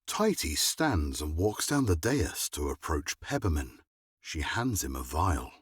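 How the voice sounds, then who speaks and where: A man narrates scripted drama in a deep, husky voice. He takes his time, measured and dramatic, with plenty of movement in his pitch. The sound is close and dry. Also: sing-song, no room sound.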